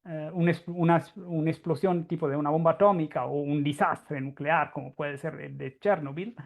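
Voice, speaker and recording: A man with an Italian accent giving an academic conference talk in a halting, explanatory way with frequent restarts; Italian is his first language. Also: a little high, steady tone, vocal fry. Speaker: guest lecturer, astrophysicist.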